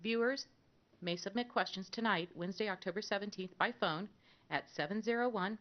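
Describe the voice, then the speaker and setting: A woman moderating a public candidate forum, reading out rules and introducing candidates in a measured announcing way. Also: mid-range voice. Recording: dull lo-fi broadcast audio.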